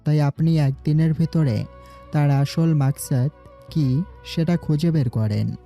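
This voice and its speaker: A man playing a commanding, dark-voiced boss. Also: fairly high pitch, a little slow, creaky. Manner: commanding, gives orders firmly. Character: commanding young male city boss. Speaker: voice actor. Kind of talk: Bengali audio drama acting. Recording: faint background music.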